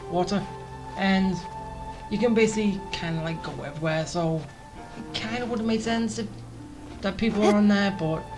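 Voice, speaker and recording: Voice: mid-range voice, rough recording. A gamer giving casual, rambling gameplay commentary, thinking aloud. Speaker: gaming streamer.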